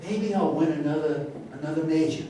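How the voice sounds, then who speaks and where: A man acting a self-assured comeback golfer, speaking deliberately in a staged-reading monologue. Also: a little high, warm tone, natural voice. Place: live staged reading in a roomy space. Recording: very echoey room, distant mic, faint noise.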